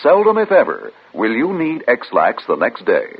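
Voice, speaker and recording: A man's voice: mid-range voice, huge pitch swings, normal speed, breathy edge. A man reading a scripted vintage radio commercial as an announcer, reassuring and persuasive. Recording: tinny old radio broadcast recording.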